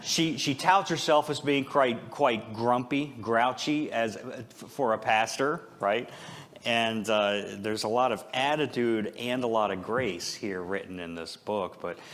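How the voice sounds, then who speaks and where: A man speaks as a pastor delivering an informal sermon. His voice sits at an average male pitch. He talks to his listeners conversationally, slipping in self-deprecating humor and rhetorical questions. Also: measured pace, fairly bright tone. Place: church service, speaking before a congregation.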